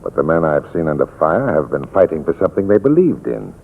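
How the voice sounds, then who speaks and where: Gravelly, swooping army major. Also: male voice, a bass voice, big pitch swings, fast talker, exaggerated voice. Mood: genial, curious. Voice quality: gravelly, husky. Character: plain-spoken, hearty older military major. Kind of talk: 1940s radio drama acting. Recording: tinny 1940s radio recording.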